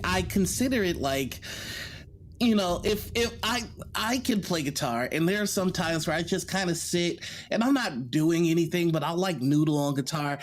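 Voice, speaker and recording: Mid-pitched speaker chatting about tabletop games. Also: a little hiss or hum. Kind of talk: casual tabletop game discussion.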